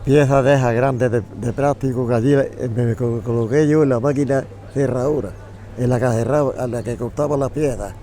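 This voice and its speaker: An older retired man from Spain who once emigrated, recounting his working years in a plain, measured way. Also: medium pitch, steady tone, dark tone. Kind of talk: street interview.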